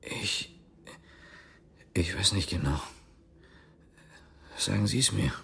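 An actor playing a weak, groggy wounded man in a radio drama, halting and anxious. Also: low-pitched, animated, vocal fry, a bit bright, husky, full character voice. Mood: dazed, anxious. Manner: halting, hesitant.

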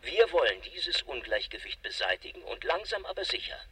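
A man's voice: mid-range voice, big pitch swings, a little fast, pushed and bright, husky, exaggerated voice. An actor playing a cold, pompous company spokesman reading a curt, rehearsed press statement on the radio in an audio drama. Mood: rehearsed, lofty. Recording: distant radio broadcast with faint noise.

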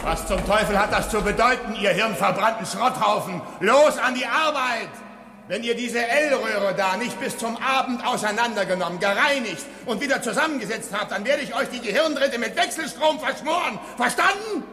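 A man's voice: very high-pitched, animated, normal speed, pushed and bright, exaggerated voice. A man playing a hot-tempered, sarcastic space station engineer in a German radio drama, with a blustering, exclamatory manner. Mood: furious. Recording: echoey hall with long reverb.

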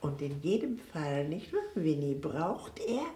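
A woman's voice: low voice, very animated, measured pace, warm tone, reverberant, a little hiss or hum. An actress playing a fussy, bossy, solicitous guesthouse lady in a German radio drama, chatty and bustling. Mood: fussing.

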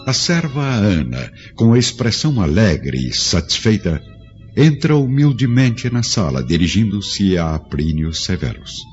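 A man narrating a radio drama in a measured, solemn way. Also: on the low side, big pitch swings, soft background music. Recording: dull lo-fi audio with faint score.

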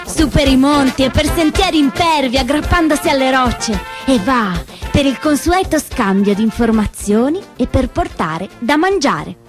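A woman performing scripted theatrical storytelling, with dramatic, emphatic and deliberate phrasing that moves between hushed and declamatory. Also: high voice, expressive. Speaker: solo theatre performer and narrator. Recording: roomy stage sound, faint music behind.

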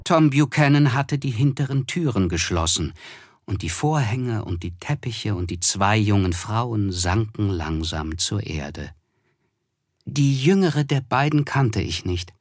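An actor playing a reflective, polite young man who narrates a literary radio drama in a measured, descriptive way. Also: fairly low pitch, lively intonation, no room sound, clean studio sound. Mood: wry, observant. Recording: music under the voice.

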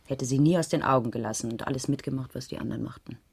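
A woman's voice: extremely low pitch, rapid-fire, creaky, darkish tone, breathy edge, exaggerated voice. A woman playing a concerned schoolteacher under questioning in a radio crime drama, speaking in a careful, reflective, slightly hesitant way. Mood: thoughtful, hesitant. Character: concerned primary school teacher being questioned, thoughtful and defensive. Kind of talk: 1980s German radio drama dialogue.

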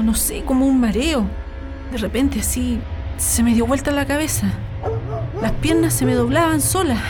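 Actress as a worried Chilean mother, very high voice, agitated, pleading, radio drama, music underneath. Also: natural voice. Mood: uneasy. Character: anxious working-class Chilean mother, fearing for her son.

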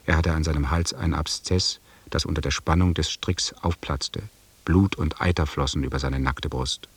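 Sombre expedition officer, radio drama narrator, low pitch, husky, measured, sober. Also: male voice, fairly even intonation, dry recording, exaggerated voice. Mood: sombre, detached. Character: sombre expedition officer recounting grim events.

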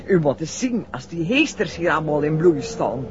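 A hospitable country innkeeper's wife in an old radio play. She chats effusively with very lively swings of pitch and folksy warmth toward guests. The recording is dull and faintly noisy. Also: normal speed. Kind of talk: 1960s radio drama acting.

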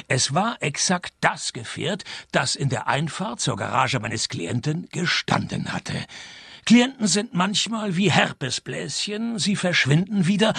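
Sardonic private detective, radio play lead: dry wit, fairly high gravelly voice. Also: male voice, no room sound, full character voice. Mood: wry narrating. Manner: deadpan, sarcastic. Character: dry, sarcastic, world-weary male private detective narrating his case. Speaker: lead character and narrator. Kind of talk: German-language radio comedy crime play narration.

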